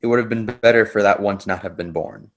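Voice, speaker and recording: A man's voice: fairly low pitch, quick pace, close to an everyday voice. A man playing a solemn teacher who foretells his death, in a readers theater scripture reading.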